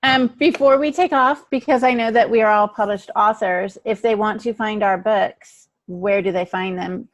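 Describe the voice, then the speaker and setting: Mid-pitched woman co-hosting a podcast. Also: steady tone, normal speed. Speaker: podcast co-host and author. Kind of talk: casual podcast chat.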